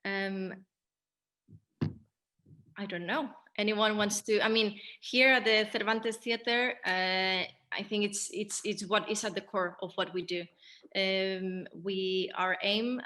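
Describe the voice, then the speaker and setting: A woman's voice: medium pitch, sharp tone. A woman, a theatre director, hosting a casual post-show discussion and carefully searching for her words.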